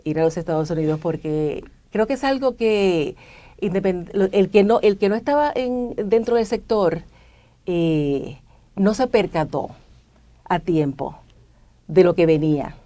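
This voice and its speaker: A woman's voice: on the low side, a little slow, warm tone. A woman, a stockbroker answering interview questions, hesitant with many fillers. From Puerto Rico. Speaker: stockbroker guest.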